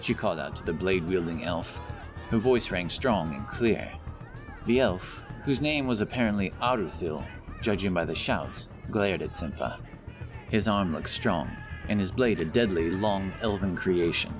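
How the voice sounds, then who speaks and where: A man's voice: deep voice, lively intonation, moderate pace. A man narrating a scripted fantasy audiobook as storyteller. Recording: narration over loud background music. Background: music, outdoor sound effects.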